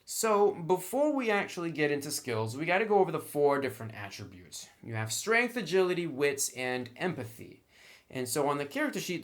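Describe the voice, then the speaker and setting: A man giving a casual, conversational review and walkthrough of game rules, with wry asides. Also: on the high side.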